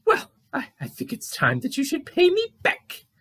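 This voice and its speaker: A woman's voice: sits high, huge pitch swings, normal speed, a bit bright, pitched above the performer's own voice, heavily acted. A woman voicing an anxious, whiny female raccoon in a puppet show, hesitant, giggly and melodramatic. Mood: indignant, whiny.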